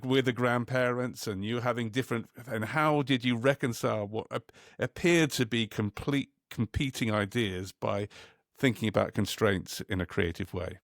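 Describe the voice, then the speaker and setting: A man hosting an interview, asking thoughtful, slightly halting questions. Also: fairly low pitch, fairly bright tone, no echo, clean studio sound. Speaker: podcast host.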